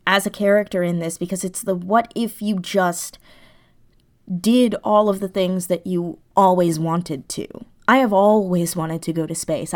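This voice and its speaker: A woman chatting casually as an interview guest, effusive and giggly, talking in halting bursts. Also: on the low side, darkish tone. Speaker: interview guest, audio drama creator.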